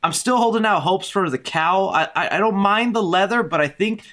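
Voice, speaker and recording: A man's voice: high-pitched, normal speed, fairly bright tone. A man speaking as a podcast guest in a casual, conversational style. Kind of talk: casual movie discussion.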